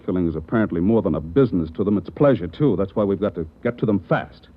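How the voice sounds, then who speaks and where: A brisk, commanding police chief in a 1940s radio drama. He gives his orders in a lowish voice, and the vintage broadcast sounds tinny. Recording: old radio broadcast, band-limited.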